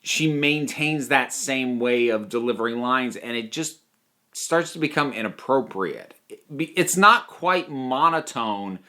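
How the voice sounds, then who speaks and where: A man's voice: mid-range voice, lively intonation, measured pace, sharp tone. A man delivering a conversational, opinionated review monologue, thinking aloud with emphatic points. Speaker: reviewer of audio dramas.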